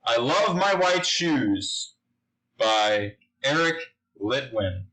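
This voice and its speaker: A man's voice: medium pitch, sing-song, extremely slow, pushed and bright, low-quality recording. A man reading a children's picture book aloud, speaking simply and putting questions to his young listeners. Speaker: story reader.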